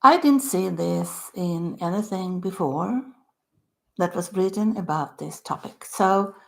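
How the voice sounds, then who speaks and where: An older woman with a Swedish accent, speaking English in halting phrases, her voice dropping to a soft near-whisper at the ends of phrases as she talks about her own book. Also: lowish voice, creaky. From Sweden. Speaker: author. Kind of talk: self-recorded book talk.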